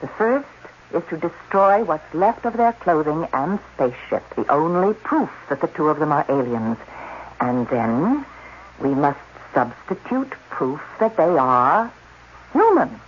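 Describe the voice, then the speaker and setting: A man's voice: fairly high pitch, sing-song, unhurried. Intense cunning scientist man, old radio play, voice jumps all over, muffled and hissy. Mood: persuasive, urgent. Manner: intense, dramatic, insistent. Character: impassioned, cunning male scientist, protective and persuasive. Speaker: actor. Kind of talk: 1980s radio drama acting. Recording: dull, noisy old radio recording.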